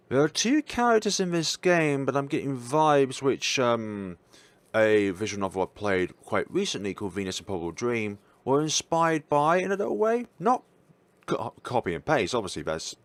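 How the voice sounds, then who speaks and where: A man's voice: mid-range voice, big pitch swings, moderate pace, no echo. A man hosting a visual novel playthrough, reading the narration expressively and adding reflective commentary. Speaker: gaming video host.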